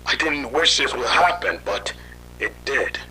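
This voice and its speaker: Man playing remorseful mad-scientist father, very bright tense voice, brooding dramatic pauses, horror audio drama, faint hum behind. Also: mid-range voice, expressive, low-quality recording. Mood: dismayed, grave. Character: guilt-ridden fugitive scientist father recounting his failed experiment.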